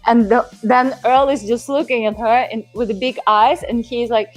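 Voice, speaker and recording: Woman, halting, music behind. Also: on the high side, steady tone. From Ukraine. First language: Ukrainian. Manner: halting, fills with "uh". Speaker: dancer. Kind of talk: casual interview chat.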